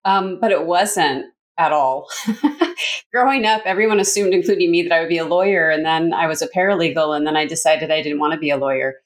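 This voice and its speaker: A woman's voice: low voice, brisk. Middle-aged North American woman, chatty storyteller. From Canada. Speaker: communications coach, consultant and educator, interview guest. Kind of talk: casual conversational interview answers.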